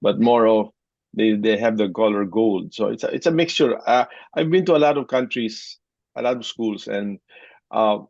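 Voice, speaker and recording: A man's voice: lowish voice, moderate pace, creaky, a little mellow, no room sound, dull audio. A man, answering interview questions hesitantly, often restarting his sentences. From Philippines. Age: 60s. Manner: hesitant, with frequent restarts and short laughs. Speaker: interview guest. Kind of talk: conversational interview.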